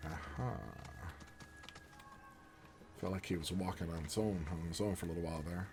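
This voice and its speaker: Deep-voiced man with a booming voice. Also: normal speed. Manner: booming, relaxed, joking asides. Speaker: philosophy professor playing a game. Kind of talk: casual gameplay commentary. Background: game music and sounds.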